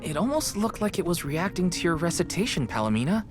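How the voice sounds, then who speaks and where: A man's voice: high voice, sing-song, fast. A man voicing an easygoing, practical young hero in a video game, with a casual manner. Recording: game music behind the voice.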